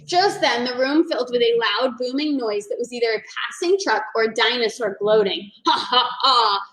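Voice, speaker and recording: A woman teacher reading a picture book aloud with animated, playful storytelling, heard in an echoey room. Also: fairly high pitch, brisk.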